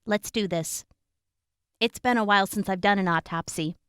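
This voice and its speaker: A woman acting a brisk, clinical young examiner in a post-apocalyptic audio drama, explaining gory details frankly. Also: mid-range voice, a bit bright, smooth, no room sound, lightly acted. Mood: matter-of-fact.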